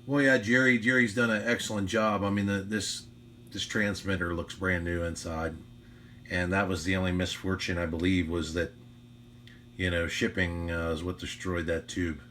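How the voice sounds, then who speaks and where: A man with a low voice talks casually as a ham radio operator at home. He is chatty, unhurried and modest about himself, with a faint hum behind him. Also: flat delivery, pushed and bright. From United States. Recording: faint hum and background noise.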